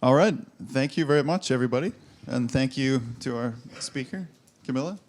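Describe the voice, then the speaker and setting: A man's voice: mid-range voice, fairly quick, a little mellow, a little hiss or hum. A man moderating the question session of a conference talk in a large hall, with brief, friendly announcements into a handheld microphone. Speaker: session host.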